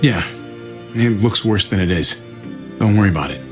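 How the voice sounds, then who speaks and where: An actor playing a steady, reassuring male survivor leader in a video game, earnest and calming. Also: on the low side, normal speed, music in the background, lightly acted.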